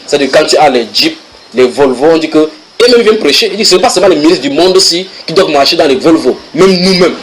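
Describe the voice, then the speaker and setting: A man preaching to a church congregation, his voice somewhat high for a man. He reads English passages aloud, translates them on the spot, and teases his listeners as he goes. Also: fast talker, a loud crowd, rough recording. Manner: animated, switches into English readings and translates, banters with congregation. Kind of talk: sermon teaching.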